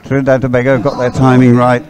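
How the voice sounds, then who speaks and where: A man giving loose, halting live race commentary outdoors, often rambling and thinking aloud. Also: mid-range voice, completely flat delivery, crowd noise. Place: outdoors beside a race course. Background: music, loudspeakers at a sports venue.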